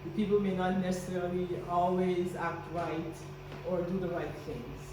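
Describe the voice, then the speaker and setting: A woman's voice: fairly low pitch, flat delivery, normal speed. A woman from Guyana giving a staff training talk with faith themes, earnest and encouraging, often restarting her sentences. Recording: very echoey, distant, noisy room recording.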